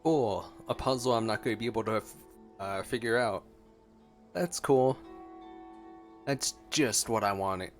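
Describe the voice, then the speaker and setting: Low-pitched man reacting to a game. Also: measured pace, pauses often. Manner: rambling, thinking aloud, playful. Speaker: gameplay streamer. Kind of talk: casual gameplay reaction commentary. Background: game music.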